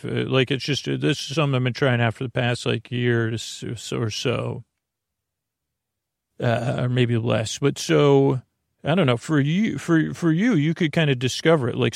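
A man hosting a bedtime podcast in a meandering, halting monologue that drifts off on tangents. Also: medium pitch, no room sound, studio quality. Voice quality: creaky, gravelly. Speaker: podcast host.

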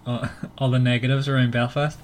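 A man's voice: medium pitch, little pitch movement, a little fast, a little hiss or hum. Northern Irish man chatting casually. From Northern Ireland. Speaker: podcast host. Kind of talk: casual book club discussion.

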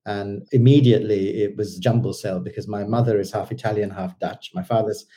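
A man's voice: lowish voice, fairly even intonation, brisk, mellow, highs cut off. A man, talking as an interview guest in a rambling, hesitant way with many fillers. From Sri Lanka. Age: 50s. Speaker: guest entrepreneur. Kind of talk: casual interview talk.

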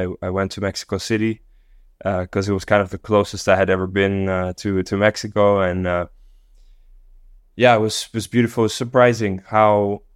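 A man who grew up in Tanzania, a guest on a podcast, talking in a relaxed, thoughtful way with many fillers and restarts. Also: on the low side, clean tone, no echo, clean studio sound. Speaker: guest, company co-founder. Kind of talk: casual podcast interview.

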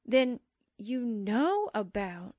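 A feisty sidekick reporter played by a woman has a high voice and a dry, close sound. She wisecracks in a breezy, sardonic way, straight out of 1940s radio drama. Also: big pitch swings, very slow, a little mellow, no echo, heavily acted. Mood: wry.

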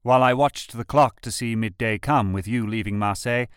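Male letter reader, smooth clean tone, medium pitch, dry recording. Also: a little fast, dry recording. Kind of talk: scripted reading of a personal letter.

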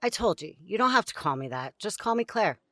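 A woman playing a caring, gently scolding field medic in an audio drama. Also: medium pitch, very animated, a little fast, no room sound, studio quality. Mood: warm, teasing.

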